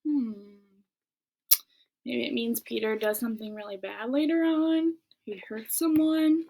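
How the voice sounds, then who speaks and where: A woman reading a children's book aloud in an expressive way, with casual chat to her listeners. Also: fairly high pitch, unhurried, gravelly, rough recording.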